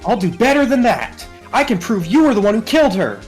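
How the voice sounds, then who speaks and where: A woman's voice: fairly high pitch, very animated, a little fast, darkish tone, soft background music. A woman voicing a determined young male rookie defense lawyer in a video game, speaking assertively and declaiming. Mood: accusing.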